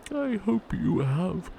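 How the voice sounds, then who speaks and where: Casting: male actor as tender bedtime butler for ASMR roleplay; slow, hushed, drowsy delivery, gravelly low edge. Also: slight background noise, full character voice. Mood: sleepy, caring. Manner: hushed, sleepy, halting, often slipping into whispers.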